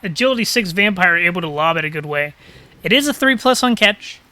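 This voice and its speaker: A man calls a live game match in a high, ringing voice, talking casually and thinking out loud. His throat is a little crackly. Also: lively intonation, fairly quick, no room sound. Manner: casual, chatty, thinking aloud. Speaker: caster.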